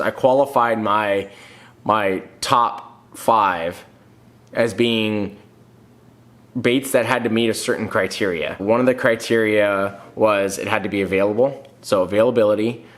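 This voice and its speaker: Guy in his 30s with a cold, kinda nasal, lowish voice, just chatting about fishing gear at home. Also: a little slow. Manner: plain-spoken, restarts often, reads comments aloud. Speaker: fishing channel host. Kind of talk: casual vlog answering viewer comments. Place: home room, indoors.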